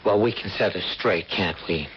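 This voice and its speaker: An actor playing a cynical, streetwise ex-convict boyfriend in a radio drama, slangy, brusque and pushy. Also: male voice, deep voice, moderate pace, breathy edge. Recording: muffled, hissy old radio drama recording.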